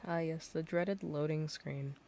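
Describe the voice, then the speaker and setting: Low-voiced woman streamer with a worn voice. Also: fairly even intonation, a little slow, a little mellow, a little hiss or hum. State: slightly worn, tired voice. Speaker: game livestreamer, host. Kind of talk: casual livestream chat.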